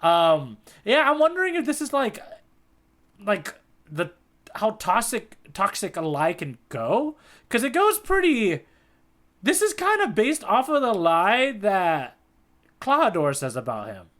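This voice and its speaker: A man co-hosting a podcast in casual chat, playful and punning, quick to laugh and agree. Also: sits high, huge pitch swings, a bit bright. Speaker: podcast co-host. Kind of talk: casual chat about a manga chapter.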